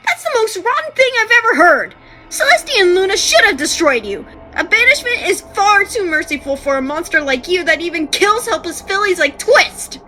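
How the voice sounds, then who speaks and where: A woman voicing a brash, indignant female pony in a cartoon comic dub, blunt and forceful. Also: very high-pitched, sing-song, moderate pace, faint music behind, heavily acted, low-quality recording. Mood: outraged.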